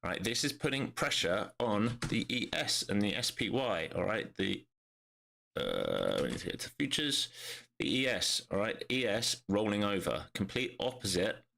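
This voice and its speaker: A man with a nasal, bunged-up voice from a cold, giving brisk live commentary on the markets and thinking aloud, often tagging his lines with "all right?". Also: fairly low pitch, sing-song, creaky, pushed and bright. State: congested with a cold. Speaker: day trader streaming live market analysis.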